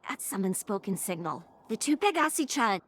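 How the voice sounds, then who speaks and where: A woman's voice: mid-range voice, big pitch swings, moderate pace, breathy edge. A woman's synthetic text-to-speech voice reading scripted third-person story narration.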